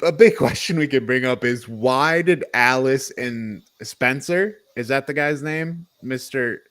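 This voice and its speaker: A man's voice: mid-range voice, unhurried, a bit bright. A man co-hosting a podcast, discussing a film casually in an informal, opinionated, questioning manner. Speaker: podcast co-host.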